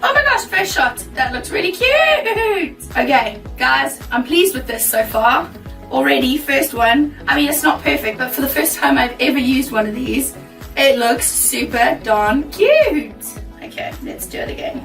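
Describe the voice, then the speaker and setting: A woman with a croaky, worn voice chatting casually to camera as a baking vlogger, bubbly and laughing easily. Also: high-pitched, animated, unhurried, fairly bright tone, reverberant, far from the mic, faint music behind. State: croaky, losing her voice.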